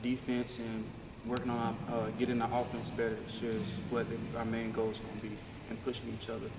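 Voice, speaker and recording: A teenage boy on a high school basketball team rushes through his answers in long run-on sentences. His pitch stays flat, and he sits back from the mic. Clear noise runs behind him. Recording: far from mic, noisy.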